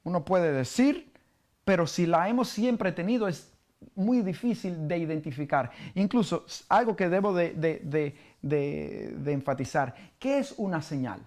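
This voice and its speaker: A man from Cuba with a Cuban Spanish accent, a pastor teaching a bible study sermon, halting with many fillers and questions to his listeners. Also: fairly high pitch, expressive, darkish tone.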